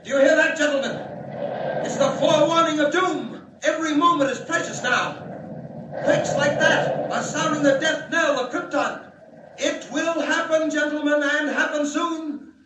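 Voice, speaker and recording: A solemn alien scientist foretelling doom in an old radio play. His voice is strikingly high, earnest and declamatory, ringing in heavy echo at a distance from the microphone. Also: steady tone, normal speed, heavy background noise. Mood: alarmed. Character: grave, urgent male alien scientist warning of doom. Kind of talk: 1940s radio drama acting. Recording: echoey, distant 1940 radio broadcast.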